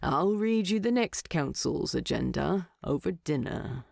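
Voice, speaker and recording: A woman voicing a gruff, grumbling dwarf king with a dry manner in a narrated story. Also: low voice, expressive, a little slow, a little mellow, no room sound, heavily acted. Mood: fond.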